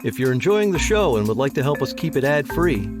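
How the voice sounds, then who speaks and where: A man's voice: mid-range voice, big pitch swings, fairly quick. A man reading a scripted podcast outro as the show's host, with music behind him. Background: marimba music.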